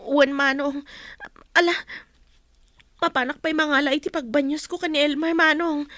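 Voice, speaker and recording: A woman's voice: sits high, fairly even intonation, normal speed, pushed and bright, no echo. An actress with an Ilocano accent playing a patient young wife and mother who meekly endures a drunkard husband in a radio drama. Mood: meek, anxious.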